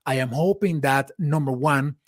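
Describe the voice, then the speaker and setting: A man's voice: fairly high pitch, normal speed, no echo, studio quality. A man hosting a live interview chat, asking long, earnest, reflective questions with frequent restarts. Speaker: host.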